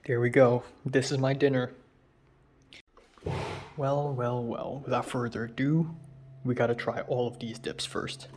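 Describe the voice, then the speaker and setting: A young man talks casually to his camera, chatty and unhurried. His voice is a bit raspy after a long phone call, sitting at an average pitch. A faint hiss runs under the recording. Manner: relaxed, chatty, drifting between soft murmurs and louder remarks. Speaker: vlogger. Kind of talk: casual food-tasting vlog chat.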